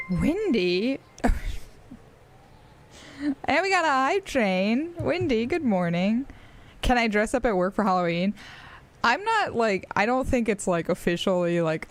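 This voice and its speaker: A woman in her twenties from Australia, speaking with an American accent and chatting casually on a live stream, rambling with frequent fillers and laughs. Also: lowish voice.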